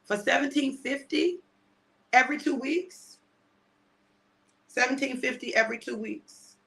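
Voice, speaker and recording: A woman in her fifties, chatty and repetitive, mixing a prophetic word with a casual sales pitch and often asking "right?". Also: measured pace, creaky, sharp tone. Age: 50s. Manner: chatty, repeats phrases, asks "right?". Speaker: preacher selling a product.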